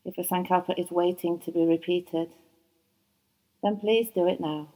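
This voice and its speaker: Low, mellow-voiced woman guiding relaxation. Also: fairly even intonation, rough recording. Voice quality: soft and soothing. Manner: slow, unhurried, with long pauses. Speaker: yoga nidra teacher. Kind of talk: guided relaxation meditation. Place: yoga training course.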